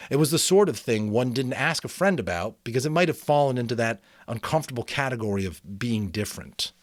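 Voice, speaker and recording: Male amateur narrator, expressive and animated, dramatic book reading, dry recording. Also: medium pitch, dry recording. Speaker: amateur voice actor reading an audiobook, commenting. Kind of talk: dramatic audiobook reading with casual commentary.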